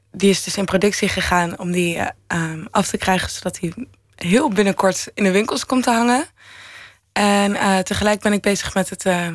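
A young woman answering interview questions off the cuff, haltingly, thinking aloud as she goes. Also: mid-range voice, no room sound, studio quality. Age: young adult. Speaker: interview guest, fashion designer.

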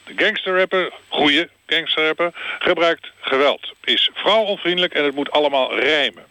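Earnest, bright-voiced deadpan official. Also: male voice, fairly high pitch, normal speed, smooth, dry recording. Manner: formal, matter-of-fact, deadpan about slang. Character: earnest male director of gangster rap quality label. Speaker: director interviewed by phone. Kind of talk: mock radio phone interview.

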